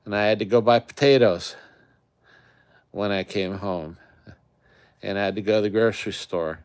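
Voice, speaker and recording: A man's voice: lowish voice, normal speed, clear, resonant tone, no room sound, clean studio sound. A middle-aged man from the Great Lakes region hosting a camping channel, chatting casually on a live stream in a rambling way with lots of fillers.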